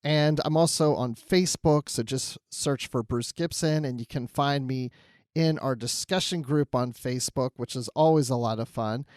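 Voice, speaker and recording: A man's voice: medium pitch, moderate pace, darkish tone, dry recording, clean studio sound. A man co-hosting a podcast, talking casually and conversationally through a review. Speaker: podcast co-host.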